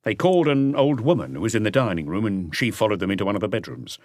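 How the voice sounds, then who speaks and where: A man reading scripted literary narration for an audiobook in a measured, restrained manner. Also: on the low side, animated, darkish tone, no room sound, studio quality.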